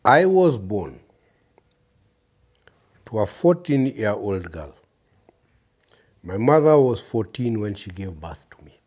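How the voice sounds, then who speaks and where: The husky, mellow voice of a Kenyan man in his fifties, the guest on a testimony show who leans in to tell his life story and press each point home. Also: lowish voice, sing-song, takes it slowly, pauses often. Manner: reflective, emphatic, addresses listeners directly. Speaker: interview guest. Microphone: lapel mic.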